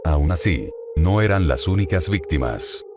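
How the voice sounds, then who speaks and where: A man narrating a scripted true-crime horror story in a grave, measured, formal manner. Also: deep voice, lively intonation, over music.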